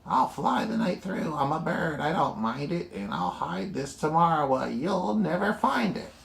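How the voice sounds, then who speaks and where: A mighty male eagle with a mocking, sneering tone, tossing taunts over his shoulder, as a dad puts him on while reading aloud. The delivery is unhurried and the room rings with echo. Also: fairly high pitch, animated, creaky, voice pushed up, exaggerated voice. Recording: roomy echo.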